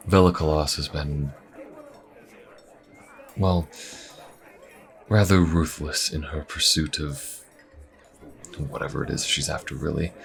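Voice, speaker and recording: Man acting a very deep-voiced, wry fantasy adventurer. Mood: wry, relaxed. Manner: casual, dry, musing, with sighs and hesitant pauses. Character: wry, weary male Inquisition agent, a traveling adventurer. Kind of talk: fantasy audio roleplay, conversational monologue to the listener.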